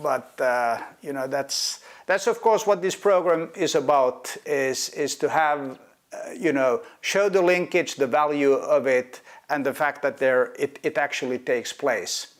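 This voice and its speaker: A man's voice: medium pitch, measured pace. A man hosting an interview in a casual, friendly chat, often repeating words as he finds them. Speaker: host.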